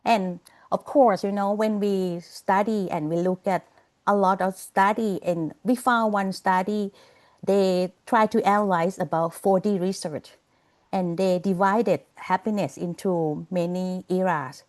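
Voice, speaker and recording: A woman's voice: medium pitch, steady tone, measured pace, darkish tone. A woman giving an online class over a video call, chatty and laughing often, checking in with "okay?" and "you know". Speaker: lecturer.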